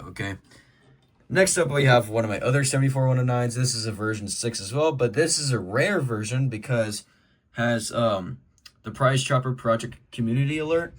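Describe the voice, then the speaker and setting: A man giving a casual, rambling show-and-tell as a collector, in an informal and opinionated way. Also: mid-range voice. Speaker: collector presenting a weather radio collection.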